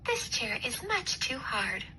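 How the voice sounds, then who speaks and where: Woman playing curious, picky storybook little girl: high voice, stretched exclamations, clear noise behind. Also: full character voice. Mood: fussy, displeased. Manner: expressive, drawn-out exclamations.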